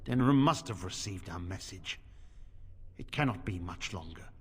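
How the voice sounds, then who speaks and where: An actor playing a stern, weary middle-aged templar commander in a fantasy game, speaking gravely and formally. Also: male voice, low voice, expressive, normal speed, full character voice. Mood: grim. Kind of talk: fantasy video game dialogue. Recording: reverberant stone hall, music underneath.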